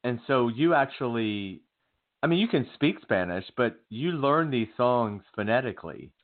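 A man's voice: medium pitch, expressive. A man hosting a casual talk show, chiming in with teasing jokes and questions. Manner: teasing, quick interjections. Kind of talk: casual chat. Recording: tinny phone-like line.

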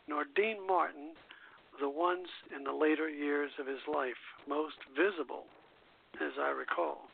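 A man hosting a music history radio show, reminiscing and reading notes in a halting, casual way. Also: on the high side, gravelly, husky. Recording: tinny, phone-like line.

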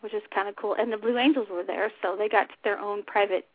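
Female talk show host, informal and unscripted, slightly husky and scratchy, dry phone-quality sound. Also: mid-range voice, steady tone, quick pace, no echo. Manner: chatty, informal. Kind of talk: unscripted casual radio chat. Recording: tinny phone-line internet radio audio.

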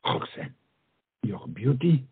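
An actor playing a witty, lovelorn soldier-poet who secretly prompts another in a comic period stage play, theatrical and exasperated. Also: male voice, mid-range voice, big pitch swings, slow, breathy edge, full character voice. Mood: hushed prompting. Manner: theatrical, swinging from hushed prompting to exclamation. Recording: muffled, dull stage play recording.

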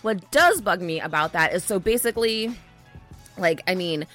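Woman podcast cohost, lowish voice, blunt, cusses, says mm-hmm a lot. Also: animated, moderate pace, a bit bright, soft background music. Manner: blunt, profane, frequent agreeing murmurs. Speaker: podcast co-host. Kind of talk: casual podcast chat.

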